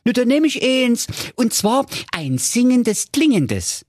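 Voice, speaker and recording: Squeaky high cocky fairy tale dude, brash and blunt, kinda dim. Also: male voice, sing-song, slow pace, no room sound, studio quality, exaggerated voice. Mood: eager. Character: boastful dim-witted young male suitor.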